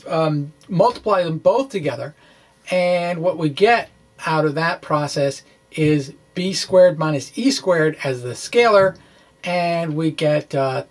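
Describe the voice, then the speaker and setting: A man giving an informal explanatory lecture in a chatty, folksy way, with wry asides and a halting delivery. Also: high voice. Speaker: physics blogger presenting a lesson. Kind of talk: informal explanatory lecture, dramatic blog reading.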